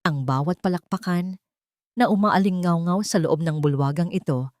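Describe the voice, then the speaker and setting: A woman's voice: fairly low pitch, moderate pace, darkish tone, no room sound, clean studio sound. A woman reading a scripted dramatic love story as its narrator.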